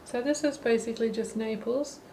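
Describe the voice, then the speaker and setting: A middle-aged woman narrating a watercolour lesson while she paints, thinking aloud in a relaxed way. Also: a little high, a little fast, slight background noise, poor audio. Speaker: watercolour teacher. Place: holiday apartment, at a table indoors. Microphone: camera mic above the table.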